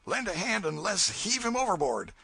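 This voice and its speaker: A rough raftsman bellows brash, jeering orders, voiced by a man reading a story. The voice is bright and husky, with pitch that swings widely. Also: big pitch swings, normal speed, heavily acted. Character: rowdy, rough male raftsman.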